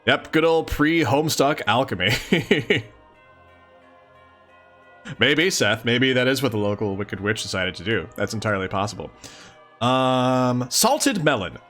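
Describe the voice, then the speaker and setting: A man's voice: mid-range voice, animated, measured pace, pauses often, a bit bright, faint music behind. A man doing a casual live read-along of a comic, reading expressively and adding mock-incredulous asides. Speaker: streamer reading a comic aloud.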